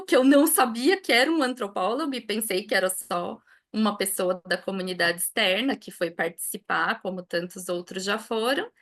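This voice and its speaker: A Brazilian woman with a slightly high voice, speaking as a language teacher in an online talk. She tells her experiences in an easygoing, conversational way and keeps checking in with a questioning "né". Her delivery stays unhurried and friendly. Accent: Brazilian Portuguese. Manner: relaxed storytelling, tags sentences with a questioning "né".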